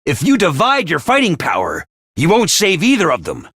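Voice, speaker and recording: A man voicing a brisk, ruthless academy commander in a video game, barking orders and announcements. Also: on the high side, wildly swooping pitch, a bit bright, husky, no echo, clean studio sound, heavily acted. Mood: analytical. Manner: clipped orders and announcements, drill-sergeant bark. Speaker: voice actor in a game.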